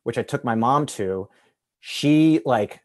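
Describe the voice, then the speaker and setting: A man's voice: medium pitch, lively intonation, slow. A man on a film panel telling a story in a loose, rambling way, filling his talk with "like". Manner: rambling, heavy use of "like". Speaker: actor on a panel. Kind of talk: casual storytelling.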